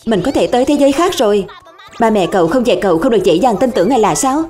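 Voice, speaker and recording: A woman plays a cocky little dragon spirit from a children's cartoon. The voice is high and young, full of indignant bragging and sly emphasis. Music runs along with it. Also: lively intonation, rapid-fire, dark tone, natural voice. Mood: scheming. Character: small boastful, cunning young dragon spirit. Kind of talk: animated cartoon dub.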